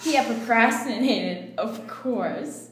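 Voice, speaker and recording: A woman's voice: on the high side, animated, takes it slowly. Giggly young woman, echoey noisy room. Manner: playful, often breaking into giggles. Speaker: reader in a water-spitting challenge. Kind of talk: giggly dramatic reading of romantic fiction.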